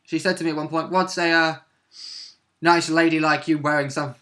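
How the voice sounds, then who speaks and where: A man's voice: fairly high pitch, steady tone, moderate pace, a bit bright. A man who plays tabletop role-playing games, chatting casually and agreeably about a session. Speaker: role-playing game player.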